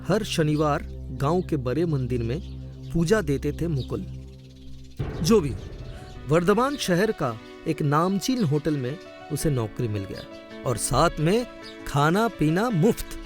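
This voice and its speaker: Male story narrator: animated scripted delivery, expressive, with faint music underneath. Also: a little high, takes it slowly.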